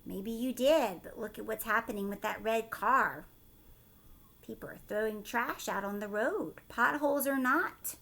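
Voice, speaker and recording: A woman teacher reading a picture book aloud to children, expressive, with explanatory asides and questions. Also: on the high side, measured pace, gravelly.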